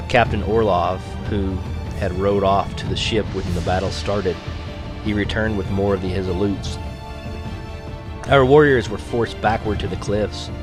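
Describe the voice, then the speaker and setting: Male audiobook reader, lowish voice, measured narration, faint music behind. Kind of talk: read-aloud story narration.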